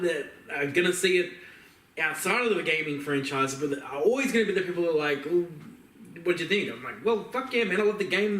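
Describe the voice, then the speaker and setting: A man's voice: sits high, fairly bright tone. An Australian man co-hosting a podcast, chatting casually with emphatic opinions. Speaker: podcast co-host. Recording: echoey room, distant from mic.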